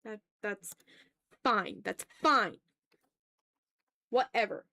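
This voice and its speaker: A woman's voice: mid-range voice, expressive, extremely slow, gravelly, pushed and bright. A woman livestreaming as she draws, chatting casually with viewers and muttering to herself as she talks through each step. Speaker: art streamer. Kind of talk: casual livestream chat while drawing.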